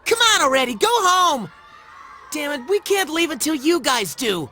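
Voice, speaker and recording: A pushy, indignant schoolgirl from an anime game. Her voice is very high, sharp and forceful. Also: sing-song, moderate pace, some chatter behind, full character voice. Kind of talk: anime video game voice acting.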